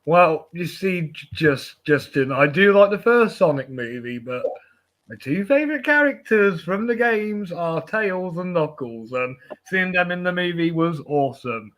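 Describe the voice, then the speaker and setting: A man hosting a film podcast, chatting casually and haltingly, with false starts along the way. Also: a little high, animated, fairly bright tone.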